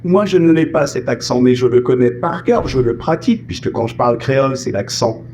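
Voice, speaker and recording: A man's voice: medium pitch, expressive, a little fast, darkish tone, a little hiss or hum. A man from France with family roots in Martinique, telling stories about voice dubbing in a casual interview, acting out the lines he quotes. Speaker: voice actor and singer, guest.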